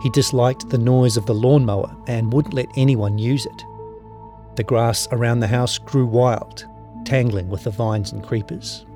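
A man's voice: mid-range voice, fairly even intonation, a little slow, warm tone, soft background music. A man with a New Zealand accent, speaking as a journalist. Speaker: journalist reading his own story.

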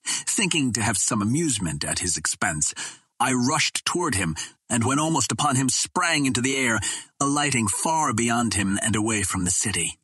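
A man reading a pulp adventure novel aloud as a narrator, in a measured, formal storytelling manner. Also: mid-range voice, pushed and bright, slightly rough, no echo. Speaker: audiobook narrator.

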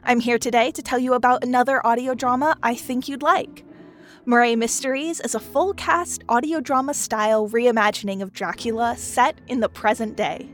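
A woman's voice: a little high, fairly even intonation, moderate pace. A woman reading a scripted podcast promo as a producer introducing a show. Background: music.

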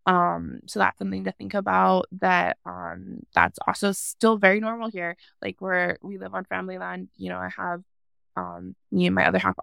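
Woman, from Hawaii, interview guest, young adult, gravelly, dry close sound, thoughtful, lots of fillers. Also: medium pitch, fairly bright tone, dry recording. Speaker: farmer guest. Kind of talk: casual interview conversation.

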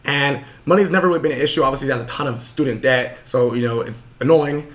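Young dude rambling fast about motivation, casual podcast chat. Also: male voice, mid-range voice, a bit bright. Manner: fast, earnest, full of fillers. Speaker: podcast host.

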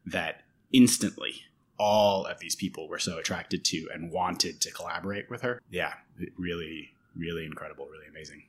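A man hosting a podcast interview, keen in his remarks and trailing off softly at the end of thoughts. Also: low-pitched, fairly even intonation, moderate pace, breathy edge. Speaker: podcast host. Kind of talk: casual interview chat.

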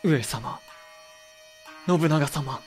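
Casting: grief-stricken old-world tea master, raised pitch, formal and archaic, period radio play. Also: male voice, very slow, soft background music. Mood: anguished. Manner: formal archaic samurai-era speech. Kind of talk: Japanese period audio drama acting.

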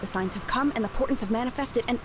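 Woman playing a brusque, high-voiced colonial woman. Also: fast, noisy background, lightly acted. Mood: urgent, impatient. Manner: blunt, urgent, exasperated. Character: brusque, impatient, foul-mouthed woman of colonial New England. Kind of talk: period audio drama acting.

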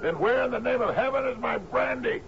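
Gravelly, gruff old sea captain. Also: male voice, extremely high pitch, heavily acted. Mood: impatient, commanding. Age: older. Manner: gruff, halting, swings from muttering to shouting. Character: aging gruff sea captain, commanding, lonely, wistful. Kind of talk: 1970s radio drama acting. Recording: dull, noisy 1970s radio broadcast.

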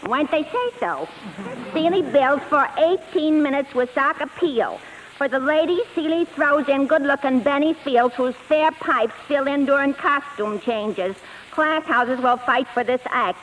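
A woman's voice: high-pitched, measured pace, fairly bright tone, rough recording. An actress playing a blunt, wisecracking female assistant in a 1950s radio drama, sardonic, snappy and plain-spoken. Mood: brisk, exasperated. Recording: faintly hissy 1950s radio broadcast.

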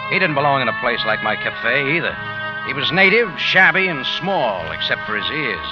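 An actor playing a tough, sardonic American cafe owner in a hard-boiled 1950s radio drama. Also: male voice, mid-range voice, big pitch swings, moderate pace, close to an everyday voice. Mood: wry narration. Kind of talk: 1950s radio acting and narration. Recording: muffled old radio recording, loud music.

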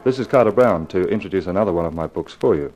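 A man's voice: sits low, lively intonation, fast, a little mellow. A man giving a scripted introduction to a radio mystery story, presented as its author. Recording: dull old 1950s radio recording. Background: music.

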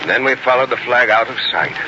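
An actor playing an anguished young frontier settler in an earnest, emotional 1940s radio drama style. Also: male voice, medium pitch, brisk, heavy background noise, close to an everyday voice. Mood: somber recounting. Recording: muffled old radio broadcast, loud music.

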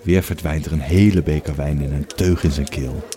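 Male narrator, extremely low pitch, warm dark tone, measured, scripted storytelling. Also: steady tone.

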